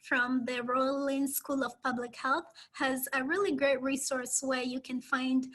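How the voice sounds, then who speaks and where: A woman with a Kenyan accent speaks as a guest professor on a video call. She tells her story at a measured pace with frequent "um"s. Her voice is high and bright. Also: flat delivery. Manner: warm, measured storytelling with many "um"s. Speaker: public health professor, guest. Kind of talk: interview answers on a video call.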